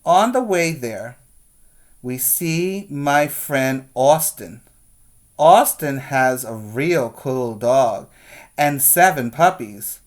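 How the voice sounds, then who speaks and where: A man working as a preschool teacher, reading a picture book aloud to young children with expressive emphasis and asides. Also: mid-range voice, drawn-out words.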